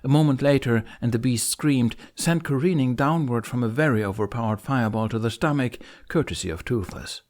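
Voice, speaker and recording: Male audiobook narrator, mid-range pitch, dramatic scripted delivery for fantasy adventure. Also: fairly quick, hardly any pauses, a little mellow.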